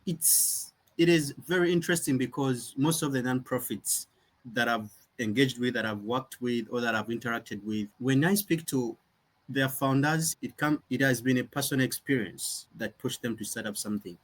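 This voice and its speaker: A man's voice: medium pitch, normal speed, poor audio. A young man from Uganda, a nonprofit founder talking earnestly and reflectively in an interview, often restarting his sentences. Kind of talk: conversational interview.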